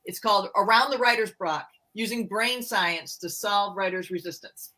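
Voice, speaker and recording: Bright-voiced middle-aged woman, chatty. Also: mid-range voice, moderate pace. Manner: chatty, candid, self-deprecating. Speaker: romance author and co-host. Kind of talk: casual book chat.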